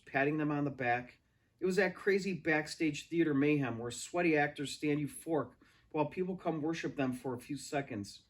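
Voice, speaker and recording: Higher-voiced man reading a story aloud. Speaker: read-aloud reader. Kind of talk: expressive story narration.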